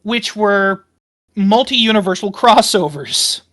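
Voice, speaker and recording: A woman playing a tabletop game, talking casually and haltingly, repeating phrases for emphasis. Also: medium pitch, expressive, a bit bright.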